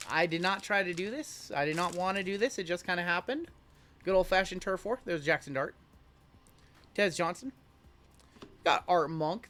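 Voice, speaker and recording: A man's voice: on the high side, fairly bright tone. Chatty man hosting a card break. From Iowa. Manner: chatty, reads chat aloud, joking. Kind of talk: casual live-stream chat while opening cards.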